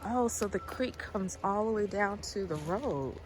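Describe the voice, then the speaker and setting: A woman's voice: mid-range voice, expressive, a little slow, slight background noise, poor audio. Chatty woman with a cold, outdoors. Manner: chatty, loose and rambling, repeats words. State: has a cold, clears throat. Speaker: farm owner vlogger. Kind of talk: casual walking tour vlog. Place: outdoors on rural land in the rain.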